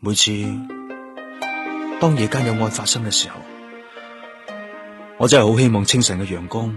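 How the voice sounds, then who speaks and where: An actor playing a methodical, brooding police detective, a man with a Hong Kong Cantonese accent, authoritative and measured, in a radio drama. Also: on the low side, over music, close to an everyday voice.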